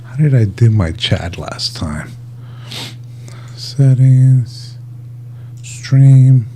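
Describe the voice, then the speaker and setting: A male livestream host with a dark, mellow voice at a middling pitch. He talks in a laid-back, informal way, addressing his viewers like friends in casual, unscripted chat. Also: lively intonation, very slow, a little hiss or hum.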